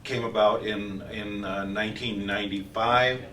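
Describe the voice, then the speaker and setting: A man giving an informal technical training explanation as a company trainer, speaking haltingly with frequent hesitations. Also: on the low side, fairly even intonation, bright, forceful tone. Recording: roomy echo, distant mic, faint noise.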